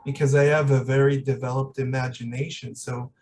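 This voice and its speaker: A man's voice: mid-range voice, little pitch movement, brisk, darkish tone, distant mic, slight background noise. A man hosting a podcast in casual, reflective conversation, hesitant and searching for his words. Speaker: podcast host.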